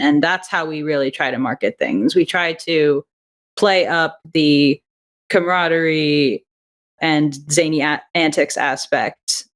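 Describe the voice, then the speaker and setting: A young adult woman, a guest on an interview over a video call, talking enthusiastically about her audio drama and thinking aloud with frequent restarts. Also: sits low. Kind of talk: casual interview chat.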